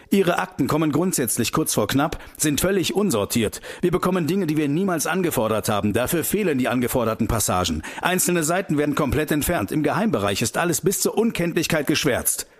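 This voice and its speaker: A man's voice: on the high side, very animated, a little fast, husky. An actor playing a combative male investigator in a radio play, indignant and bitingly sarcastic. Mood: indignant, demanding.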